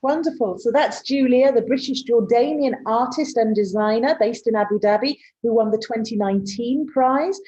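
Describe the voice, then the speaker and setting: A woman hosts an online design talk, introducing speakers and segments in a friendly way. Her voice sits slightly high, and she fills gaps with um and uh. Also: moderate pace, few pauses, warm tone, dull audio. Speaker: host of the webinar. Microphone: video call.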